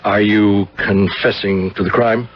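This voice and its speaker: Patient police detective, lowish voice, hesitant, businesslike, radio play, muffled recording. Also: male voice, fairly even intonation, a little slow. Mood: puzzled, patient. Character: puzzled, patient police lieutenant questioning a confessor. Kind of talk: 1970s radio drama acting. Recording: dull, faintly noisy radio recording.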